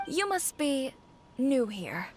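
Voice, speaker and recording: A woman's voice: sits high, very animated, takes it slowly, darkish tone, slight background noise, heavily acted. Formal, strict woman inspector. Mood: official. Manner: formal, official. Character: polite, strict female immigration inspector. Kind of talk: anime video game voice acting.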